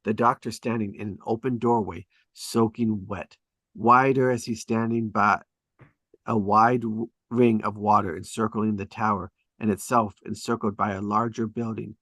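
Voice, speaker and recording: A man's voice: fairly low pitch, fairly even intonation, normal speed, fairly bright tone, no echo. A man reading stage directions as dramatic scripted narration at a table read.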